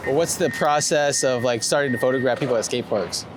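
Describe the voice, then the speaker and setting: A man with a medium-pitched voice hosts an informal interview on location. He speaks in casual, conversational questions. City and vehicle noise is clearly audible around him. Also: a little fast. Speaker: host interviewing on walks. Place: on location in a city, car and street.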